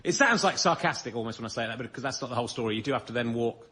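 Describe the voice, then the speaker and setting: A man's voice: mid-range voice, animated, very fast, sharp tone. A man rambling comically as a panel show guest, hesitant and self-mocking, restarting his sentences mid-thought.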